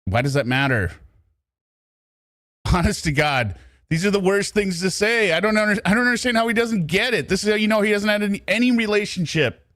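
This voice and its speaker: High-voiced man giving exasperated commentary. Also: lively intonation, a little fast, a bit bright, dry recording, studio quality. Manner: exasperated, mocking, paraphrasing others' words. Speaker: true crime commentator. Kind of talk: casual reaction commentary over a played phone call.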